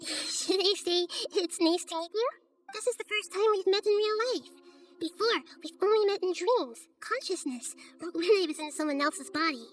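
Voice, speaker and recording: A woman voicing a gentle, wise, humble little girl deity in a video game, with a soft childlike voice and thoughtful, polite manner. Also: extremely high pitch, normal speed, faint music behind. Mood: gentle, apologetic.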